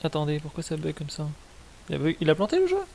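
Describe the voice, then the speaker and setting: A man's voice: on the high side, huge pitch swings, quick pace, gravelly, a little mellow, a little hiss or hum. A man giving casual, slangy, mildly sweary commentary as a video game streamer. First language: French.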